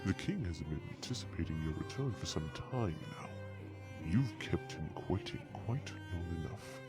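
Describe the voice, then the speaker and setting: A man's voice: low voice, animated, normal speed, loud music behind the voice. A man playing a haughty, scheming dragon suitor in an audio drama, formal and condescending as he taunts.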